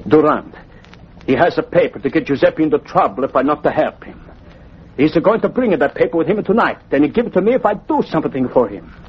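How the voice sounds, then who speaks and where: Pleading Italian immigrant father, higher voice, broken English, vintage radio play with dull sound. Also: expressive, brisk, slightly rough. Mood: confiding, grave. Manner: broken English, refers to himself in third person. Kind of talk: 1940s radio acting. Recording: dull, noisy old radio recording.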